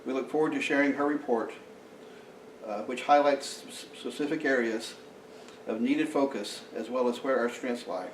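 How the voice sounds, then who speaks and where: A man's voice: medium pitch, a little hiss or hum. A man who is president of a local society, making meeting announcements and introducing a speaker, with many fillers and restarts. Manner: halting, frequent uh fillers and restarts. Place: meeting room. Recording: echoey room, mic from far off.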